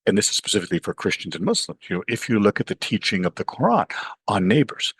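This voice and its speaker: A man's voice: lowish voice, sing-song, fast talker, slightly rough, no echo. A middle-aged man from Pakistan, an author and professor, talking animatedly in an interview, often saying "you know" and acting out the voices of others. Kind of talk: interview conversation.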